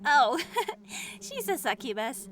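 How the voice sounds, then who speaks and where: Woman playing a very high-pitched feisty swordswoman, noisy recording. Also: sing-song, slow, a bit bright, exaggerated voice. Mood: amused. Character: feisty, easily flustered young swordswoman.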